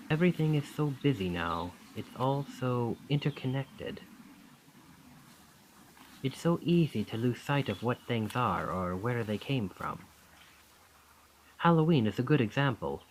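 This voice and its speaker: Charming, lively young male student, acted by a man. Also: mid-range voice, a little hiss or hum, close to an everyday voice, rough recording.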